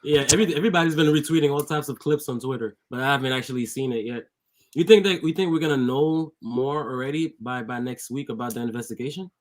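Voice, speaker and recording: A man's voice: mid-range voice, fast. A Haitian-born man whose first language is French, co-hosting a podcast in casual chat, laughing often and agreeing readily. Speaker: podcast co-host.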